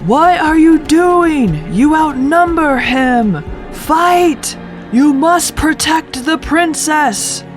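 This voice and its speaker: A woman's voice: sits high, animated, very slow, faint music behind, voice pushed up, full character voice. A woman voicing a brave, defiant one-inch young samurai in a children's folktale, calling out bold challenges. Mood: urgent. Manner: bold, declamatory, shouting challenges.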